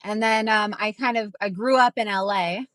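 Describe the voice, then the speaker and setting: A young woman talks casually as an interview guest. She rambles and restarts, her pitch sits in the middle, and the sound is close and dry. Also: fairly even intonation, normal speed, a bit bright, dry recording. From Minnesota, United States. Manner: rambling, filler-heavy, acts out quoted voices. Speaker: guest, ghostwriter.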